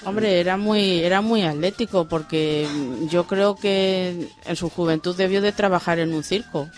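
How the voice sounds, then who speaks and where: A woman's voice: fairly low pitch, steady tone, measured pace, faint music behind. A woman from chatting casually on air as a radio co-host.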